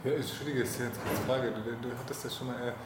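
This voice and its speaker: Man, medium-pitched voice, informal interviewer, searching phrasing, clearly audible background noise. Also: steady tone, fast, echoey room. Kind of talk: casual chat interview.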